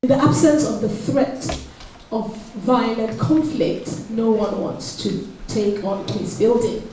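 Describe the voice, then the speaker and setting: A woman with a Nigerian accent giving an explanatory public speech as an author at an event. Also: on the high side. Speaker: author speaking at book launch. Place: event hall. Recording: very echoey hall, distant mic.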